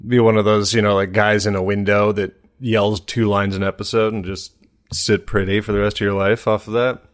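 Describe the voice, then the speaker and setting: A man's voice: on the low side, flat delivery, fairly quick. A man hosting a podcast in casual comedic chat, with a rambling, joking way of talking. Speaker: podcast host.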